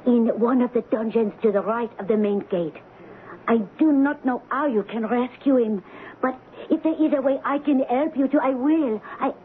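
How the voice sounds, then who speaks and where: A woman's voice: a little high, moderate pace, phone-quality sound, lightly acted. Actress as anxious noblewoman, urgent and earnest. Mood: urgent, secretive. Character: anxious, secretive noblewoman mistress warning of murder. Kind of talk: 1970s radio drama acting. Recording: thin, noisy old radio broadcast.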